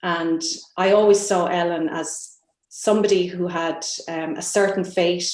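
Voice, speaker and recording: An Irish woman with a fairly low voice speaks as a guest author. She is reflective and thoughtful, often pausing and restarting as she searches for words. The room adds a clear echo. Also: a little mellow. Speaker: novelist guest on a literary panel. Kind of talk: reflective interview answer about own writing. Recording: roomy, echoing sound.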